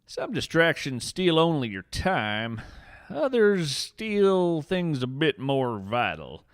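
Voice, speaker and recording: A man's voice: on the high side, wildly swooping pitch, slow pace, no room sound, studio quality. A man narrating a scripted story as a podcast host, with a theatrical, poetic and solemn delivery.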